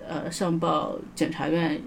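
A woman's voice: deep voice, little pitch movement, unhurried, gravelly, a little hiss or hum. A woman explaining legal procedure as a guest in a casual podcast discussion, carefully qualifying what she says and readily agreeing with others. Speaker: guest legal commentator, lawyer.

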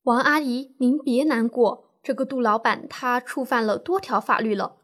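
A woman playing a calm, reassuring lawyer who explains the law formally in a scripted educational radio drama. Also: a little high, moderate pace. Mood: reassuring, explanatory. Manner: composed, explanatory, formal legal phrasing. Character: calm, reassuring female lawyer advising a client.

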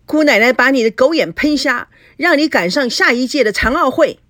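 A woman voicing a sharp-tongued, indignant young woman in a story, assertive and exasperated. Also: sits high, very animated, moderate pace, dry recording, clean studio sound, pitched above the performer's own voice. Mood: fierce taunting.

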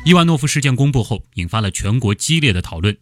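An actor playing a brisk TV news commentator of about thirty, reading formal reports in a radio drama. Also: male voice, medium pitch, very animated, soft background music, natural voice.

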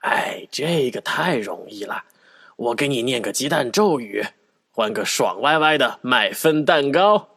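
A man's voice: mid-range voice, animated, a little slow, fairly bright tone, breathy edge, exaggerated voice. A man playing a breezy, obliging wizard in a children's audio drama, casual and confident, with music behind. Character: breezy, obliging male wizard of a storybook street.

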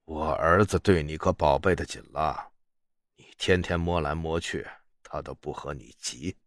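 A man's voice: rumbling low, normal speed, dry recording, studio quality. A man playing a sly, shameless prince posing as a monk, teasing and theatrically aggrieved in a period audio story.

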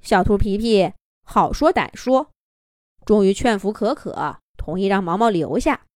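A woman narrating a children's story in a gentle, expressive, singsong way. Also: mid-range voice, unhurried, no room sound, clean studio sound. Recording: soft background music.

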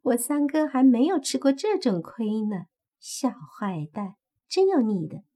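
A woman's voice: fairly high pitch, big pitch swings, a little slow, dark tone, pitched above the performer's own voice. A woman voicing a flirtatious, teasing female fox demon with a coy, raised voice.

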